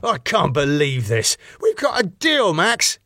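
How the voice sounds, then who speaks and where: A man voicing an exasperated young man who protests loudly against being cheated. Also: mid-range voice, sing-song, unhurried, husky, dry recording, clean studio sound. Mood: angry. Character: young male gig promoter, exasperated and angry.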